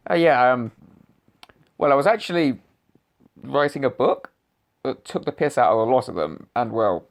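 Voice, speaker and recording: A woman's voice: rumbling low, expressive, normal speed. A woman voicing the ghost of a murdered, cheeky male writer who makes sarcastic asides in an amateur audio drama. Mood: sheepish.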